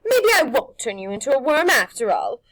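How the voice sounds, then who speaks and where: Here is a slimy, bullying witch creature from a storybook. She pitches high and loud, commands with false sweetness, and bristles with defiance. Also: sing-song, a little fast, no room sound. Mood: menacing, mock-sweet. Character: slimy, menacing female witch creature, bullying then panicking.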